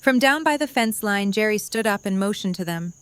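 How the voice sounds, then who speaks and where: A woman's voice: medium pitch, lively intonation, a little fast, clean tone, dry recording. Woman narrating a story. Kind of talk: scripted narration.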